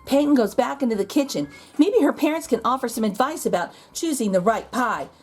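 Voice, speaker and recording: A woman reading a children's picture book aloud in expressive narration, with deliberate dramatic emphasis. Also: fairly high pitch, slightly rough. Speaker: children's storybook reader. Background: music.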